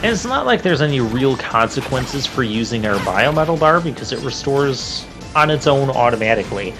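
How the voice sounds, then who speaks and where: A man comments casually over a video game in a medium-pitched voice. He is informal and chatty, thinking aloud as he plays. Game music runs clearly underneath. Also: sing-song, normal speed. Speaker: video game let's player. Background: game music and crashing effects.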